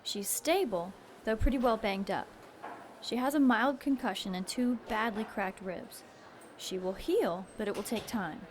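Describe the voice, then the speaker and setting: An actress playing a calm hospital doctor in an audio drama, measured and clinical. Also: female voice, medium pitch, a little hiss or hum, lightly acted.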